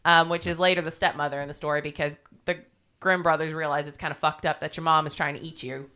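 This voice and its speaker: Bright, clear-voiced woman, informal storyteller. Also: low-pitched, flat delivery, fast talker. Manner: irreverent, salty-tongued, informal. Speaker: podcast co-host. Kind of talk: casual retelling of a fairy tale.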